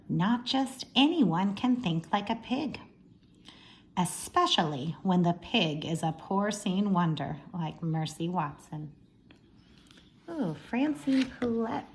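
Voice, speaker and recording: Slow, animated woman reading to children. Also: mid-range voice, vocal fry. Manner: expressive, addressing young listeners. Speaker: teacher reading aloud to children. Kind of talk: animated storybook read-aloud with asides.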